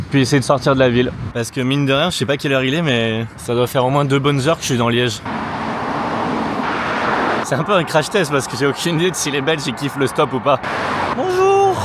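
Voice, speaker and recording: A man's voice: mid-range voice, noisy background. A man in his thirties from France, talking casually and self-mockingly to the camera outdoors by city roads, with some swearing. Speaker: travel vlogger. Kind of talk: casual vlog talk to camera.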